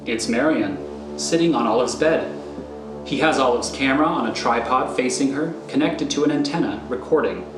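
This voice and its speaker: A man reading scripted scene directions as narrator, in a deliberate storytelling manner. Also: medium pitch, music in the background. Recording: echoey room with faint noise.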